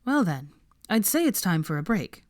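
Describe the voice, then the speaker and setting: A concerned young man in a storybook, as a woman reader plays him. He talks bluntly and sternly, exasperated but loyal, with very animated pitch and a dry, close sound. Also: moderate pace, no room sound, natural voice.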